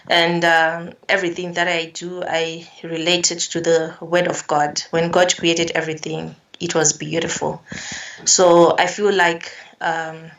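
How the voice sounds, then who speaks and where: A young woman from Zimbabwe gives earnest, measured answers in a low, flat voice and often restarts her phrases. She sits some way back from the microphone, so she sounds distant. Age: young adult. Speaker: climate activist guest. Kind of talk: remote interview answers. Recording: distant from the mic.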